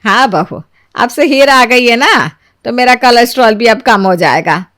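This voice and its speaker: A woman's voice: on the high side, lively intonation, normal speed, a bit bright. A woman storyteller voicing an older mother-in-law who is kindly, agreeable and homely in manner. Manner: approving, homely.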